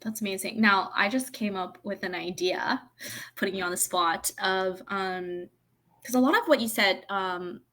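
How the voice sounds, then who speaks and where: A woman's voice: mid-range voice, normal speed, bright, forceful tone. A woman hosting a casual interview, asking curious questions with many fillers. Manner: chatty, curious, filler-heavy. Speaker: interview host.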